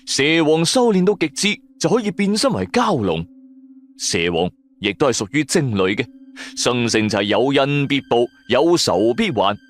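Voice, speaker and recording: A man's voice: mid-range voice, very animated, moderate pace. A man with a Cantonese accent narrates a supernatural story in the first person, in a dramatic and colloquial way. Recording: music bed under the voice. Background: background music.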